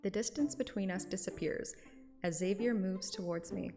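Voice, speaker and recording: A woman's voice: medium pitch, normal speed, natural voice. Woman reading as playful young heroine over music. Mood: fond, determined. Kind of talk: dramatic reading of game text. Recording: music behind the voice.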